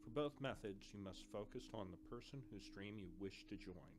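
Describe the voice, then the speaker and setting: Man playing a direct, low-voiced academy man. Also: moderate pace, over music, lightly acted. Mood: instructive. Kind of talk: audio drama acting.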